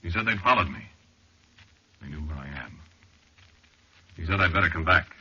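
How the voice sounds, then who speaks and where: An actor playing a haunted, undying man who narrates his own horror tale in measured, intimate 1940s radio style. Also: low voice, lively intonation, pushed and bright, slightly rough, heavily acted. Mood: resigned. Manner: measured, intimate storytelling with pauses. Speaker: narrator and star. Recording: dull vintage radio recording, music behind.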